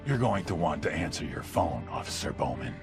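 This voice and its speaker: A menacing, courtly drug lord with an extremely deep voice full of gravel. He is unhurried and mockingly polite, a cinematic game villain with music swelling underneath. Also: sing-song, exaggerated voice. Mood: gloating. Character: huge menacing male drug lord, smug, calm, courtly. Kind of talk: cinematic video game acting.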